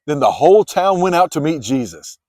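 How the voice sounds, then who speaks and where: A man reading scripture as a dramatized, expressive storytelling narration. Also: a little high, brisk, no echo, studio quality. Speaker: narrator, Bible reader.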